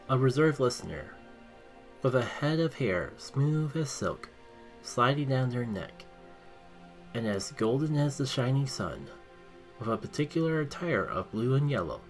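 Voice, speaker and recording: A man narrating expressively, with dramatic emphasis, as a video creator telling his own story. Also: medium pitch. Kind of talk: casual intro, then reading own written story aloud.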